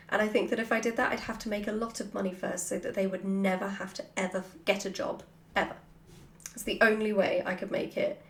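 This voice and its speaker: Chatty woman vlogger in an echoey room. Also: mid-range voice. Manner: animated, informal, jokey. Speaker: vlogger answering followers' questions. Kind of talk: casual chatty Q&A, off the cuff. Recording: roomy, echoey sound.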